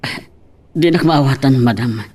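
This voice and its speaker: A man's voice: mid-range voice, normal speed, darkish tone, slightly rough, natural voice. A man with an Ilocano accent acting a hesitant, lovesick young employee in an amateur audio drama. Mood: hesitant, flustered. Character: hesitant lovesick young male employee, unsure with his boss.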